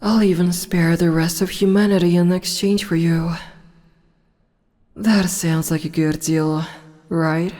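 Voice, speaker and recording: A woman voicing a slow, teasing demon empress. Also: lowish voice, steady tone, long reverb tail, close to an everyday voice. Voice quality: soft, slow and teasing, with whispered asides. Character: teasing female demon empress, regal and amused. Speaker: voice actor. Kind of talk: ASMR audio roleplay.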